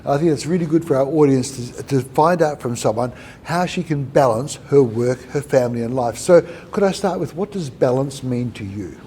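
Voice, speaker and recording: A middle-aged man hosting a conversational interview, speaking in a measured way, asking questions and summing up answers. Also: medium pitch, lively intonation, warm tone, slight background noise. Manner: measured, repeats and sums up answers. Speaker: podcast host.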